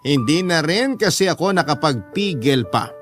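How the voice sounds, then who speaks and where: High-voiced Filipino male storyteller over music. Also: expressive, moderate pace. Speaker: radio drama narrator. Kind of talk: first-person dramatic story narration. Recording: music behind the voice. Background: background music.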